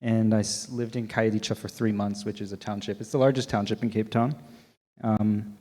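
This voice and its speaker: A Canadian man moderating a conference panel, talking in a relaxed way with many ums and restarts. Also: on the low side. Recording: long hall reverb.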